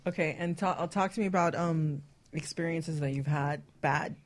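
A woman's voice: deep voice, normal speed. A woman hosting a bawdy radio chat, casually interviewing with teasing, prodding questions. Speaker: radio show host.

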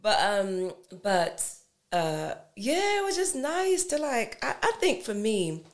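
A woman's voice: a little high, sing-song, slow pace. A woman in her thirties, an actress guest with a London accent blended with American, chatting casually with many fillers and frequent laughs. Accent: East London with an American blend. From East London. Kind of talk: casual interview chat.

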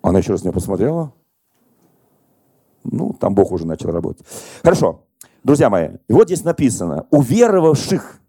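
Mellow-voiced male pastor, conversational sermon. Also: mid-range voice, no echo, clean studio sound. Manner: conversational, animated storytelling to congregation.

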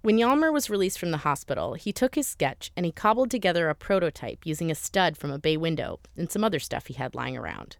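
A woman with a low voice narrates a documentary in a conversational, lightly wry way. Her pitch moves a lot from line to line. The sound is close and dry. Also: very animated, fast talker, vocal fry, few pauses, no echo. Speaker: host.

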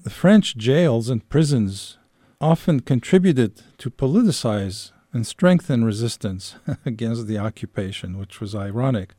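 A man from Algeria speaks in a mellow, mid-pitched voice, recorded close and dry. He works as an interviewer, measured and articulate as he lays out his questions. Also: animated, no room sound. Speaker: interviewer, radio host. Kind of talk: interview questions with prepared commentary.

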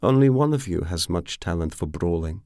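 A man's voice: sits low, lively intonation, moderate pace, dark tone, no echo, studio quality. A stern, weathered woman who leads a crew in a fantasy tale, voiced by a male narrator. She speaks coolly, with treacly menace, and is never impressed. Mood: cool, threatening.

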